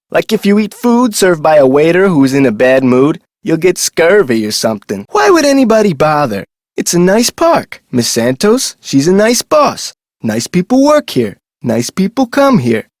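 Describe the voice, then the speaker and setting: A man's voice: on the high side, moderate pace, no echo, studio quality. A man voicing a chatty, folksy security guard at an amusement park in a video game, with colloquial scripted lines. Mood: chatty, informative. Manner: folksy, colloquial, animated delivery.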